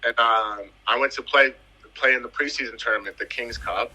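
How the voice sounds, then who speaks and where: A man in his thirties from the US Virgin Islands tells his football story in a relaxed interview. His voice is very bright and edged with husk, and he leans on repetition for emphasis. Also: medium pitch, moderate pace, rough recording. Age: 30s. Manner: animated, emphatic, repeats phrases for effect. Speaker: footballer guest.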